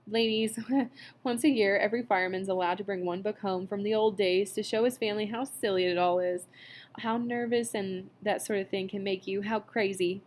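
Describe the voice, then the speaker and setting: A woman's voice: mid-range voice, fairly even intonation, normal speed, a little hiss or hum, close to an everyday voice. A woman voicing a nervous wife who covers up with sugary, quavering cheer.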